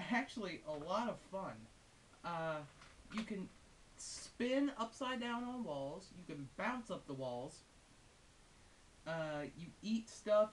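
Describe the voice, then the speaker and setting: A man giving a casual, unscripted video game review in a rambling, hesitant but amused and enthusiastic way. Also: sits high. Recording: hissy, noisy home recording.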